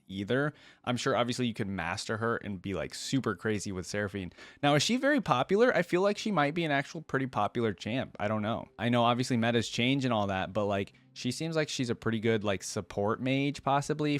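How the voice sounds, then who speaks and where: A man's voice: mid-range voice, dry recording. A young man giving casual, informal reaction commentary as a video host. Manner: informal, easily impressed.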